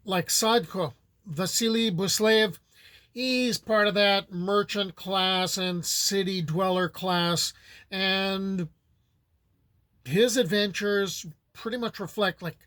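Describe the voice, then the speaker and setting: A man with a fairly high voice gives a loose, conversational talk on old folk tales. He wanders into asides as he explains. The sound is close and dry, with no room around it. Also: slow pace, pushed and bright, no room sound. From United States. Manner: rambling and conversational, with many asides. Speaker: folklore channel host. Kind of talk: casual lecture on folklore.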